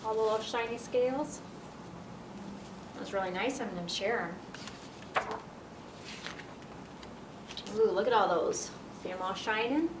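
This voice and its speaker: A woman's voice: unhurried, pauses often. American woman storyteller, roomy distant sound. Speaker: children's library storyteller. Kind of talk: reading a picture book aloud to children. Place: library children's area, indoors. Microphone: camera a few feet away. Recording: roomy, distant mic with noisy rumble.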